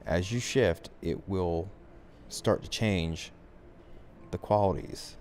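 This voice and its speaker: A man giving a software tutorial as instructor, talking through the steps in an informal, conversational way. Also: low voice, takes it slowly, slight background noise.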